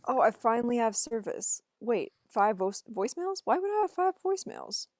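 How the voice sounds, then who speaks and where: A woman's voice: on the high side, big pitch swings, normal speed, no echo, studio quality. A woman reading the part of an earnest, awkward young male insurance appraiser in an amateur table read. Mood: puzzled.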